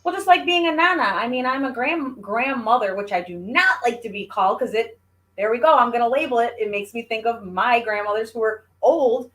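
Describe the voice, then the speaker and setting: A woman's voice: fairly high pitch, lively intonation, a little fast, a bit bright. A woman in her forties chatting casually, talking things through in a reflective, explanatory way. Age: 40s. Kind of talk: casual chat.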